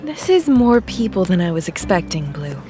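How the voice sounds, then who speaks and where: A woman's voice: lowish voice, very animated, moderate pace, warm tone, soft background music. A woman playing a polite, controlled town official in an audio drama. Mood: polite, businesslike. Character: composed, formal female town official, diplomatic.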